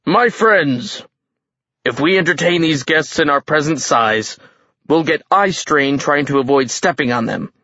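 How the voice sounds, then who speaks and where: A man's voice: on the high side, animated, a little slow, pushed and bright, no room sound, dull audio, heavily acted. A man voicing a commanding giant king in a fantasy audiobook, genial, showy and slyly menacing. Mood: jovial, commanding.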